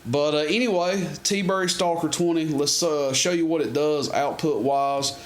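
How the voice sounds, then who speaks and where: A man's voice: fairly high pitch, moderate pace. A man giving a casual, folksy product demo in a rambling, unscripted way, like a seller showing off equipment. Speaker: presenter demonstrating and selling CB radios. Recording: noisy amateur camera recording, clicks.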